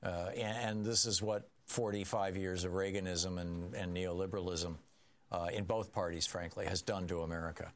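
A man's voice: steady tone, moderate pace, slight background noise. Emphatic male talk radio host. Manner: emphatic, drops low and quiet when serious.

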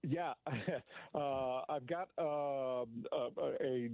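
A man calling into a radio show, talking haltingly with many pauses and fillers. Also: medium pitch, no echo. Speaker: radio caller. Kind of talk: phoned-in talk radio call. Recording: dry, tinny phone line.

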